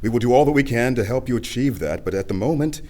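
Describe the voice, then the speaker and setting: A man's voice: mid-range voice, expressive, quick pace, darkish tone, close to an everyday voice. A man playing a practical officer keeping order in a radio play, firm and matter-of-fact. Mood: firm, reasonable. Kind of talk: full-cast radio play acting.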